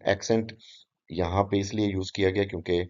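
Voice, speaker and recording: Male english teacher giving a lecture, low mellow voice, lists stuff out, says "uh" a lot, kinda muffled recording. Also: steady tone, a little fast. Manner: switches freely into English terms, lists points one by one; frequent filler hesitations between phrases. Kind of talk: explanatory lecture. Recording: dull lo-fi audio.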